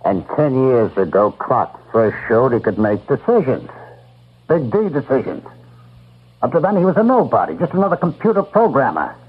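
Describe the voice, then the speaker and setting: An actor playing a cold, greedy, domineering boss in an old-time radio drama, imperious and emphatic. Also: male voice, mid-range voice, big pitch swings, normal speed, breathy edge. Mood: probing. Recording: tinny, muffled old radio recording.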